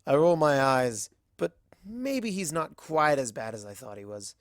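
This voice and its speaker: This is a scripted story narrator telling a first-person tale, with a middling pitch. The recording is close and dry, with very little room in it. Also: lively intonation, measured pace, no echo. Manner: acts out dialogue in varied character voices. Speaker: narrator of a fan fiction audiobook.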